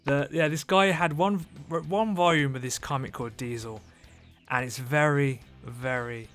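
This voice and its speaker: A man's voice: medium pitch, unhurried, fairly bright tone. A man hosting a podcast in casual chat, joking in a mock-earnest, sweary way. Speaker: podcast host. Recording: music playing behind the voice.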